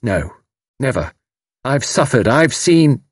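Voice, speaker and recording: A man's voice: fairly low pitch, expressive, moderate pace, breathy edge, no room sound, clean studio sound. A man voicing a fierce, bitter, impetuous young woman in an audiobook, with intense, emphatic delivery. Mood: bitter, impassioned. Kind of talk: audiobook character dialogue.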